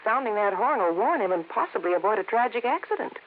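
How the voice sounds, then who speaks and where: An actress playing an earnest, persuasive wife in a radio safety skit, with a coaxing delivery. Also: female voice, medium pitch, expressive, fast talker, natural voice. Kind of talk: 1950s radio public service skit. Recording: tinny old radio broadcast with hiss.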